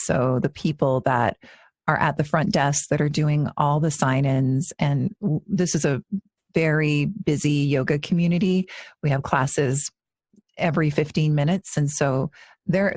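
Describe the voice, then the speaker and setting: A woman's voice: low-pitched, a little mellow, no room sound, studio quality. A woman in her forties, a yoga teacher co-hosting a podcast, talking in an earnest, reflective way with frequent restarts. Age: 40s. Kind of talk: casual podcast conversation.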